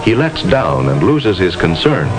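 A man's voice: deep voice, sing-song, a little slow, over music, rough recording. An American man giving formal, instructive narration with precise diction in the style of a 1950s educational film. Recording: hissy 1950s film soundtrack.

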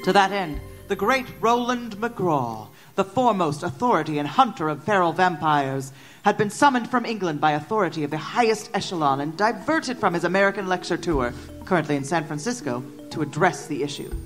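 An actress plays a genteel Victorian lady reporter telling a gothic tale. Her voice sits a little low for a woman and her delivery is formal and ornate. Music plays clearly behind her. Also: lively intonation, a little fast, lightly acted. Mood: measured, grave. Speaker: narrator. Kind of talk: scripted narration.

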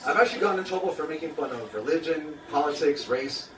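A man's voice: a little high, expressive, fast talker. A young man from Queens doing a stand-up comedy set, dry and self-mocking with lots of ums and uhs. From Queens, New York. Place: small open-mic café stage, indoors. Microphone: handheld mic, filmed from audience. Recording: roomy, distant phone recording with noise. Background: audience laughter and room noise.

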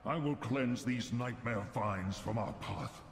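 A man's voice: medium pitch, slow, slight background noise. An actor playing a noble male forest guardian spirit in a fantasy video game, grave, stately and declamatory. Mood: resolute. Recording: long reverberant effect.

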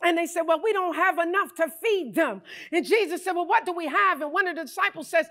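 High, bright-voiced woman preaching. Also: fairly quick. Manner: animated, preaching with bursts of shouting. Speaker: pastor. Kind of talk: sermon. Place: large church stage, indoors. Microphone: handheld mic.

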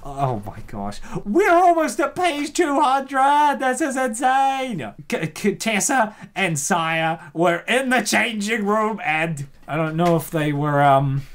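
A woman hosting casual comedic commentary in an excitable, theatrical, playful way. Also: medium pitch, slow pace, few pauses. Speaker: host reacting to a web comic.

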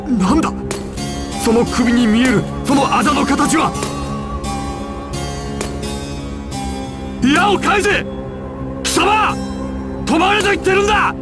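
A man voicing a ruthless, imperious crime boss in a dramatic anime video game, theatrical, menacing and deliberate. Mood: alarmed, angry. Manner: theatrical, menacing, deliberate, swinging from low murmur to shouts. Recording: loud music over game voice clips. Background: loud game music.

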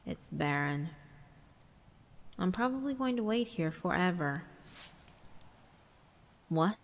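Slow, low-voiced woman narrating in long reverb. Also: expressive. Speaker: visual novel narrator. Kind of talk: dramatic first-person scripted narration. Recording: long reverb, music underneath. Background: background music.

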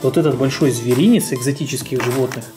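A woman's voice: rumbling low, quick pace. A young woman chatting playfully to young viewers, exclaiming and repeating words for emphasis. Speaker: mother presenting a children's toy video. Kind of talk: playful chat to young viewers during toy play. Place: indoors in a room at home. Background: music.

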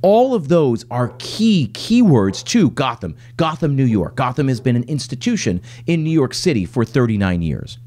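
A man's voice: mid-range voice, very animated, normal speed, few pauses, darkish tone. A man in his forties hosting a solo podcast from a home office, reading points aloud and then explaining them loosely with fillers and restarts. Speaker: podcast host.

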